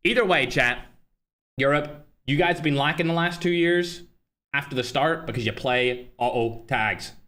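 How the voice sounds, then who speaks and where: A man runs a live stream in a loose, brash chat style and talks straight at his viewers. His voice sits a bit high and sounds sharp and bright. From Northern Ireland. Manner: brash, fast-flowing, talks straight to chat, trash-talking. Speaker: livestream host and tournament organizer.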